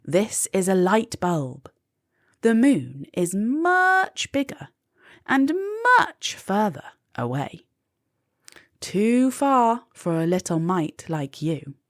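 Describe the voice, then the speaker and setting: A woman's voice: medium pitch, very animated, slow pace, no room sound, studio quality. A woman voicing a huge, dismissive storybook bluebottle fly with a blunt, emphatic way of talking.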